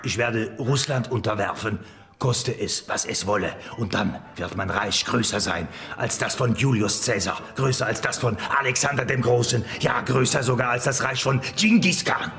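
An actor in a radio play playing a boastful, defiant emperor with grandiose, declamatory delivery. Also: male voice, medium pitch, normal speed, fairly bright tone, close to an everyday voice.

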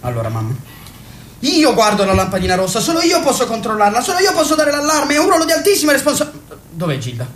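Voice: high-pitched, huge pitch swings, fast, a bit bright, roomy sound, slight background noise, exaggerated voice. A performer playing a weary, sarcastic grown son who works in a factory and argues with his mother in a radio play. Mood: exasperated. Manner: sullen, exasperated, sarcastic.

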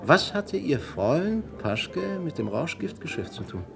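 An actor playing a shrewd, persistent police inspector in a vintage radio crime drama, blunt and probing. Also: male voice, on the high side, very animated, measured pace, gravelly, music in the background, heavily acted. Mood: stern, pressing. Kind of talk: German radio crime play acting, 1950s to 80s.

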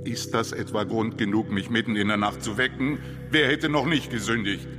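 Male actor as pompous, grumpy Harlem reverend, theatrical preacher delivery, radio crime drama, long reverb, clear music behind. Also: on the low side, steady tone, moderate pace, lightly acted. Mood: grandiose, indignant.

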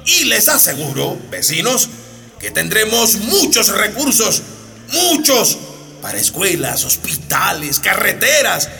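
An actor playing a brazen, corrupt candidate in a radio drama, giving an oily, blustering campaign speech. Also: male voice, sits high, very animated, takes it slowly, creaky, sharp tone, soft background music, full character voice. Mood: boastful, oily.

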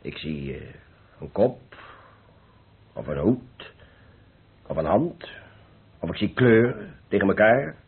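An actor playing a jovial middle-aged master painter, teasing and chuckling, in an old Dutch radio play. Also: male voice, low-pitched, very animated, takes it slowly, gravelly, long pauses, husky, exaggerated voice. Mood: musing, encouraging. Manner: hearty, chuckling, repeats himself. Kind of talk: old radio drama acting. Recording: tinny, noisy old radio play recording.

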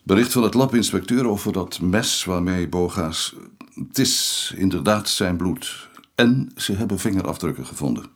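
A man's voice: low voice, animated, moderate pace. A man with a Dutch accent playing a deferential junior policeman who reports reluctantly in a radio drama, apologetic and hesitant. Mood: apologetic, urgent. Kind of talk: naturalistic radio drama acting.

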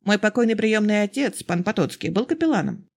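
Storybook role: narrator plays sly, precocious boy, twelve, composed, deadpan, slightly husky. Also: medium pitch, a little fast, voice pushed up. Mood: matter-of-fact. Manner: polite, deadpan.

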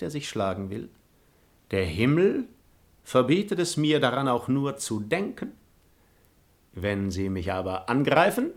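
A man's voice: mid-range voice, gravelly. An actor playing an arrogant, hypocritical libertine nobleman in a classical radio play, declamatory and falsely pious. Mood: coolly threatening. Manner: declamatory, feigned piety.

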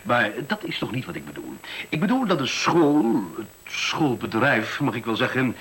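A man's voice: mid-range voice, fairly bright tone, slightly rough, rough recording. A man acting in a Dutch radio play as a middle-aged school director, defensive and officious, hesitating and then lecturing. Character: defensive, self-important male school director pitching efficiency.

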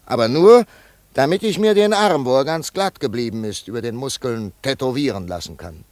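An actor playing a wealthy, grieving older father in a German radio play, brooding and yearning in a halting monologue. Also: medium pitch, animated, darkish tone, dry recording, natural voice. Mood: wistful, brooding. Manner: slow, halting, brooding delivery with sudden swells.

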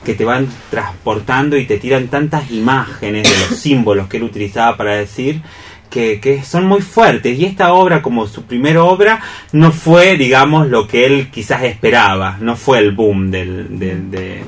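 A man with an Argentine accent in reflective radio conversation, haltingly thinking aloud and rephrasing as he goes. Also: medium pitch, far from the mic. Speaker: radio programme co-host.

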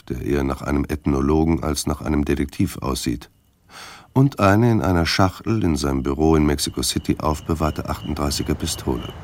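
An actor narrating a detective story for a German radio drama in a sombre, matter-of-fact way. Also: male voice, extremely low pitch, dry recording, clean studio sound.

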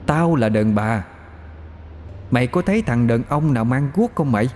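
A man voicing a haughty female skeleton ghost with a shrill voice. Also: lively intonation, moderate pace, darkish tone, in a large hall, slight background noise, natural voice. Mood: haughty mockery.

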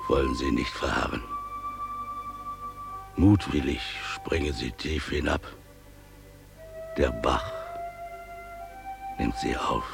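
An actor playing a humble, pious father and animal keeper in a radio drama, declaiming solemnly with weighty pauses. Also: a bass voice, gravelly, exaggerated voice. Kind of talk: German radio drama acting.

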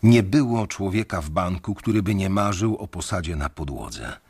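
A man's voice: deep voice, expressive, normal speed, a little mellow, breathy edge, full character voice. A young man narrating in the first person as a wary bank analyst, speaking in a restrained way in a radio drama.

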